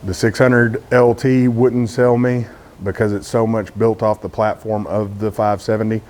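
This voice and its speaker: Deep-voiced Texan man, candid and rambling. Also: little pitch movement, moderate pace, darkish tone. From Texas. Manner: candid, rambling, frequent "uh" fillers. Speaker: car vlogger. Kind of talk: casual talk to camera.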